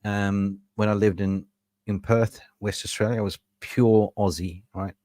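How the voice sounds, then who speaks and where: A man's voice: lowish voice, steady tone, unhurried, warm tone, dry recording. Swedish-born man in his fifties, dry sound. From Sweden. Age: 50s. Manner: playful, laughs often, slips into jokey impressions. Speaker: co-host of a football fan show. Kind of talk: casual podcast chat.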